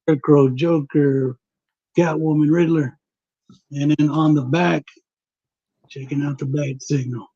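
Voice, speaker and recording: A man from West Texas chatting casually on a livestream, showing off items from his collection in a relaxed, drawling way. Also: fairly high pitch, unhurried, pauses often, husky, dry recording. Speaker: comic collector guest. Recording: dull, muffled lo-fi mic.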